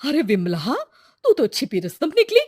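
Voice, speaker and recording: A woman's voice: high-pitched, huge pitch swings, moderate pace, a little mellow, exaggerated voice. A woman voicing a curious, chatty young village woman in a moral-story cartoon, with colloquial exclamations. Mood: probing, amazed.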